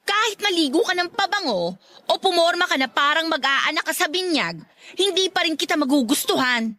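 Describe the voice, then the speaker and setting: An actress plays a sharp-tongued young woman in a radio drama. Her voice is very high and bright, recorded dry and close, and she scolds with open, haughty disdain. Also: lively intonation, fairly quick, no room sound, full character voice. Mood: annoyed. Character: feisty, disdainful young college-graduate woman rebuffing a suitor. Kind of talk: Tagalog radio drama acting.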